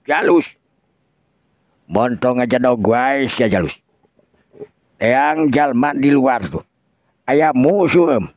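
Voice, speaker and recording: A man's voice: mid-range voice, lively intonation, slow pace, pauses often, no echo. A man voicing a furious old village sorcerer in a Sundanese folk tale, coarse and menacing, cursing and threatening. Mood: angry, commanding. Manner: coarse, shouting curses and threats. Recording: dull lo-fi audio.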